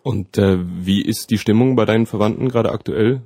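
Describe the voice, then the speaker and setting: A man's voice: fairly low pitch, brisk, warm tone, breathy edge, no room sound, clean studio sound. A man hosting a campus radio interview, asking questions in an informal way.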